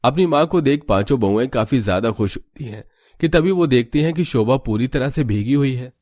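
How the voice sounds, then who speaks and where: A man's voice: mid-range voice, expressive, a little fast, darkish tone, no room sound, clean studio sound. A man delivering scripted narration as the storyteller of a cartoon tale.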